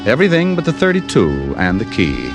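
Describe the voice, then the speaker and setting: An actor playing a wry, sharp-witted American private detective in old-time radio style, with dry wisecracking delivery. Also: male voice, lowish voice, wildly swooping pitch, brisk. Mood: somber narration. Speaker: lead actor and first-person narrator. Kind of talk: 1940s-50s radio detective acting. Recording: old radio recording with loud music.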